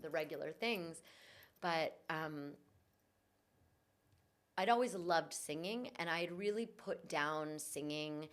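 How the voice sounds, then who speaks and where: Woman guest, yoga and voice teacher; low-set voice, earnest reflective storytelling. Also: slow pace, pauses often. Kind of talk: conversational interview. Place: in Bali.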